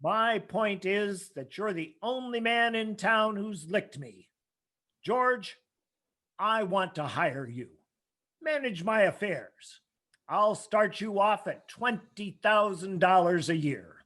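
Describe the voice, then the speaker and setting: A man's voice: high-pitched, lively intonation, takes it slowly, pushed and bright, full character voice. An actor playing a domineering, scheming rich old businessman in an old-time radio play, imperious and condescending. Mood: persuasive.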